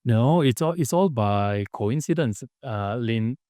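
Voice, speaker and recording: A man from Malaysia talks through his story as a podcast guest, earnest and unhurried, often pausing on fillers and starting sentences over. His voice is smooth and clear, at an ordinary middle pitch. It is recorded dry and close, with no room sound. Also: animated, dark tone, no room sound. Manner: earnest, halting, with many fillers and restarts. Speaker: podcast guest, business founder. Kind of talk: casual interview storytelling.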